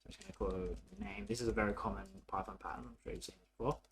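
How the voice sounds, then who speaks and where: A man narrating a coding tutorial casually, thinking aloud in an informal, halting way as he works. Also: lowish voice, wildly swooping pitch, vocal fry, a bit bright. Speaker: programming tutorial presenter.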